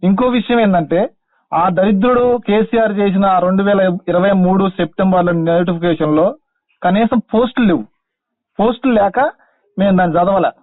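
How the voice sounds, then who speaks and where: A young man pleads over a narrow, dry phone line in a high voice. He speaks Telangana Telugu, respectful yet insistent, as a caller making an appeal. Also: normal speed, dry recording. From Khammam district, Telangana, India. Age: young adult. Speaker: caller, teacher-exam candidate.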